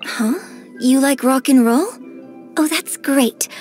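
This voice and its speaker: A woman voicing a graceful, gentle and cheerful young female opera singer in a game, with polite, elegant diction. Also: high voice, takes it slowly. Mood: friendly, eager. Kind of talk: scripted game character voice lines. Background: background music.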